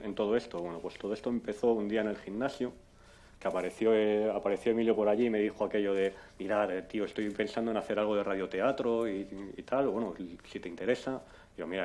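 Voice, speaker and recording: Deep-voiced man telling a quick story. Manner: lively storyteller, acts out dialogue. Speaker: conference speaker. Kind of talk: anecdotal conference talk.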